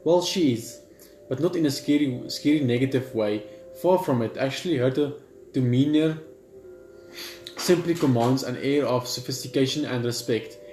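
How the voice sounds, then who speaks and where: A man with a mid-range voice reads game text aloud in a halting way, repeating words and dropping casual asides. The room is echoey and game music plays faintly behind him. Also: medium pitch, darkish tone. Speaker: gaming video host.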